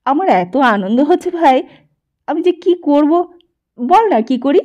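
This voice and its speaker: A woman's voice: sits high, lively intonation, takes it slowly, mellow, lo-fi sound, voice pushed up. A woman voicing a wildly overjoyed, impulsive boy in an audiobook, exclaiming eagerly. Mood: giddy, restless. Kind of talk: audiobook character dialogue.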